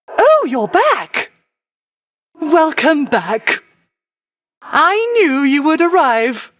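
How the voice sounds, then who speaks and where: A woman voicing a whimsical, doting sorceress in a video game, with an unhurried, lilting delivery and old-fashioned genteel phrasing. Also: very high-pitched, heavily acted. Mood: welcoming, blessing. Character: whimsical sorceress aunt living alone on her isle, doting, dreamy. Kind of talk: theatrical video game character acting.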